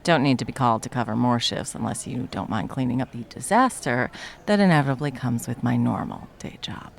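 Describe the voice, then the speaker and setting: A performer playing a jaded, sarcastic cafe baker with a villainous side, speaking in a dry, menacing deadpan for a comedy audio roleplay. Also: mid-range voice, brisk, vocal fry, close to an everyday voice. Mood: dry menace. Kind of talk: ASMR comedy roleplay acting.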